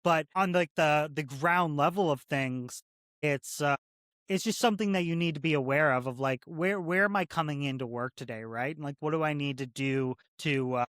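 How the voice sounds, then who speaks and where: A man's voice: fairly high pitch, moderate pace, a bit bright, no echo, clean studio sound. A man in his thirties from Canada, a corrections officer guest talking casually and rambling, with many "like" and "right?" fillers. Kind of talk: casual interview conversation.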